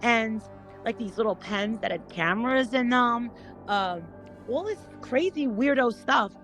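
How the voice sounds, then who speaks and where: A woman chatting casually as a panel guest, rambling and haltingly repeating her words as she goes. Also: a little high, expressive, fairly bright tone, faint music behind. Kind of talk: casual gossip chat.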